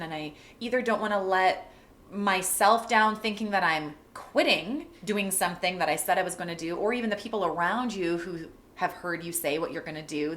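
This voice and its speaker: A woman's voice: on the low side, a bit bright. Reflective woman podcast host. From Canada. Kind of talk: casual interview chat.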